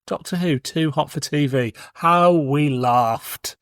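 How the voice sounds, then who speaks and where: A man's voice: a little high, slow, no room sound, studio quality. A man in his forties hosting a podcast in casual banter, with a wry, playful, teasing manner. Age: 40s. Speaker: podcast host.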